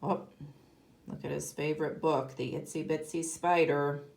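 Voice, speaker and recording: A woman's voice: deep voice, steady tone, normal speed, creaky, darkish tone. A woman kindergarten teacher giving a read-aloud lesson to young children, explaining in simple, emphatic phrases. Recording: echoey room.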